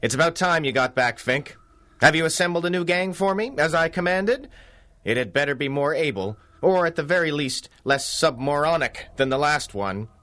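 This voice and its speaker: A man's voice: fairly high pitch, expressive, normal speed, bright, forceful tone. An actor voicing a pompous, theatrical male criminal mastermind in a 1930s-style radio drama, sneering with mock courtesy. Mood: imperious, impatient.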